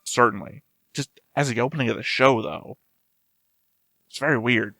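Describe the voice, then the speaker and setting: A man's voice: medium pitch, normal speed, vocal fry, sharp tone, no echo. A man hosting a reaction video, talking casually and rambling as he thinks aloud. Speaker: host of an anime reaction channel.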